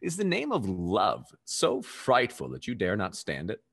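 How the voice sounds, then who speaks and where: A man's voice: fairly low pitch, wildly swooping pitch, a little slow, vocal fry, slightly rough, heavily acted. A man as a swooping, suave rake. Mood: teasing, wooing. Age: young adult.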